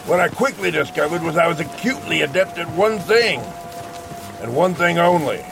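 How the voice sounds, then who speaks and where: A gruff, self-assured frontier hunter with a gravelly voice pitched a little high. He is boastful and folksy, in the manner of a western audio drama, with music playing clearly underneath. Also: lively intonation, moderate pace. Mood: proud, storytelling. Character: gruff, boastful older hunter and former whaling harpooner, male.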